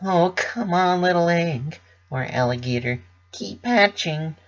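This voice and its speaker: A man's voice: a little high, expressive, a little slow, fairly bright tone, lo-fi sound, voice pushed up. A man voicing a cheerful, doting young female cartoon pony with a bubbly, affectionate manner. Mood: encouraging.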